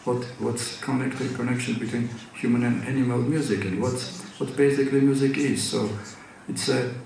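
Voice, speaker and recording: A man's voice: medium pitch, fairly even intonation, moderate pace, gravelly, a little mellow, a little hiss or hum. A man from Georgia with a Georgian accent, Georgian his first language, giving an academic lecture at a conference with many hesitations and restarts. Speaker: conference speaker. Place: large conference hall. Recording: echoey hall from far off.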